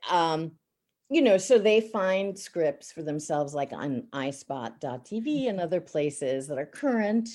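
A middle-aged woman voice actor with a raspy voice, talking in a casual, sarcastic, chatty way in an interview. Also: low-pitched, animated, unhurried, warm tone. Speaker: voice actor and teacher.

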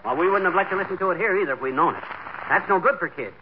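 A folksy, blustering husband with a high, animated voice, bumbling and easily exasperated. The 1940s radio comedy recording is dull and noisy. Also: male voice, rapid-fire.

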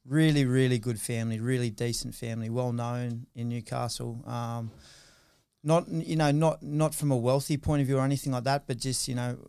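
Australian man, thirties, interview guest, smooth clear voice, dry close sound, rambling with lots of ums. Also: medium pitch, steady tone, moderate pace, a little mellow, no room sound. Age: 30s. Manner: rambling, many fillers and restarts. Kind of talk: casual interview.

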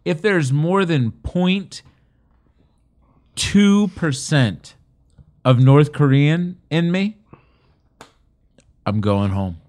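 A man's voice: on the high side, animated, extremely slow, long pauses, darkish tone. A man co-hosting a casual talk show, argumentative and joking, repeating his points for emphasis. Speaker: podcast co-host. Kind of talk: casual political banter.

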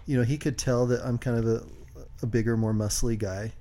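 A man co-hosting a casual podcast, speaking quietly and hesitantly with lots of fillers. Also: mid-range voice, steady tone, a little fast. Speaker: podcast co-host.

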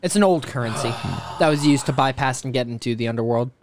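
A man's voice: mid-range voice, fast talker, noisy background. A man co-hosting a podcast, wisecracking with crude humor. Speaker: podcast co-host. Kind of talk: casual chat.

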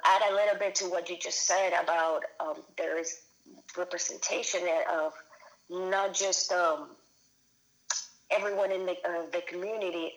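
A woman in her thirties from Venezuela, a filmmaker answering interview questions. Her voice sits a little low, and she talks casually, with frequent fillers and restarts as she gathers her thoughts. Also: fairly bright tone, poor audio. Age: 30s. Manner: hesitant, many restarts and fillers. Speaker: guest filmmaker.

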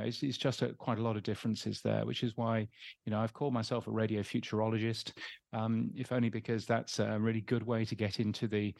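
A middle-aged man with an English accent, answering interview questions in a casual, halting way with many ums and restarts. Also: on the low side, gravelly, a little mellow, dry recording. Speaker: interview guest.

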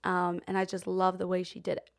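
Chatty young woman with slight vocal fry. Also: lowish voice, completely flat delivery, brisk. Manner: chatty, many fillers like "um" and "like", laughs often. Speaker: podcast co-host. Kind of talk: casual chat.

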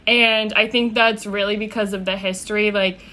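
Bright-voiced chatty young woman guest. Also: medium pitch, steady tone, moderate pace. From New Hampshire, USA. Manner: chatty, laughs easily. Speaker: podcast guest. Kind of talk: casual chat.

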